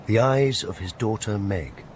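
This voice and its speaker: An actor playing a solemn, poetic storyteller, narrating a radio drama in a lyrical, earnest way. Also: male voice, on the low side, expressive, a little slow, a little mellow, slight background noise. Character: solemn, poetic storyteller addressing his listeners.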